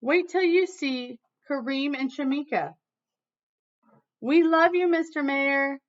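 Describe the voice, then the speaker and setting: Woman as excited schoolchild fans, high-pitched, shrill, eager shouting, storybook read-aloud, slightly lo-fi. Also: takes it slowly.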